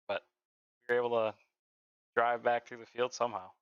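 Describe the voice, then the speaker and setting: A man, a sim racing driver, talking casually and haltingly about his race over voice chat. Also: mid-range voice, clean tone, no room sound. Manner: relaxed, halting, lots of "like" and "uh". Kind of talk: casual post-race interview. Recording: dry, dull voice-chat audio.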